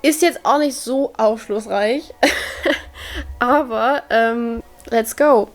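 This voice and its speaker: A woman's voice: fairly high pitch, drawn-out words. A woman in her twenties talking casually and directly to her viewers, upbeat and chatty, filmed at home. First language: German. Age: 20s. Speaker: vlogger. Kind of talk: casual vlog to camera. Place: at home indoors.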